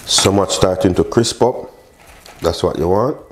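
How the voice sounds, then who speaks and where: Deep-voiced man hosting a cooking video. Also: measured pace, noisy background. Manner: casual, chatty, addresses viewer with short exclamations. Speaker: cooking show host, chef. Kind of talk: casual step-by-step recipe demonstration. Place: indoor modern home kitchen.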